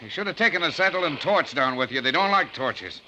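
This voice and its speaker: An actor playing a practical, confident shipmate on a treasure hunt, speaking in a matter-of-fact 1940s radio style. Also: male voice, a little high, animated, fairly quick, pushed and bright, muffled recording. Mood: practical, concerned. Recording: noisy old radio recording.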